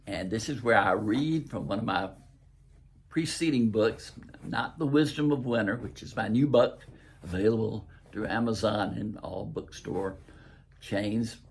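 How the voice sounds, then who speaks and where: Raspy, creaky older Southern man telling a story. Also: on the low side, measured pace. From southern United States. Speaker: host and author reading own stories. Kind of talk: storytelling, reading aloud from own book.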